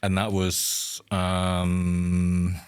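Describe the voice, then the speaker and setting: A man from Scotland with a very low, deep voice, speaking as an interview guest. He talks hesitantly, repeating words and trailing off to a near murmur at the ends of his thoughts. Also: monotone, drawn-out words, smooth. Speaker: guest architect. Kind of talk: conversational interview.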